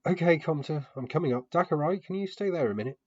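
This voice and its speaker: A man playing a kindly, anxious man minding children in a fantasy story, hesitant and gently reassuring, in a muffled home recording. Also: fairly high pitch, quick pace, pitched above the performer's own voice, close to an everyday voice. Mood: calling out.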